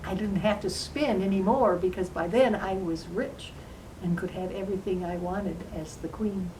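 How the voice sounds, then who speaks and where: A woman playing a young fairy-tale queen and mother, telling her own story in an earnest, dramatic, emotional way with drawn-out emphasis. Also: medium pitch, darkish tone, natural voice, low-quality recording. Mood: fond recounting. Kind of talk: dramatized first-person storytelling in character. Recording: faint background noise.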